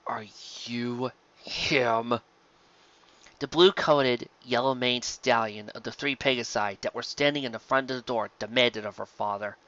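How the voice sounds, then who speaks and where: A man reading a story aloud as narrator, in an expressive scripted style. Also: mid-range voice, normal speed, few pauses, lo-fi sound. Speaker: narrator, fan fiction reader.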